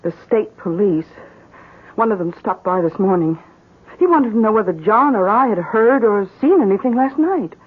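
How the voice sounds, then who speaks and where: An actress in a 1970s radio drama playing a worried, loyal farmhouse wife, heard through muffled broadcast sound. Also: female voice, medium pitch, expressive, moderate pace, breathy edge. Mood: uneasy.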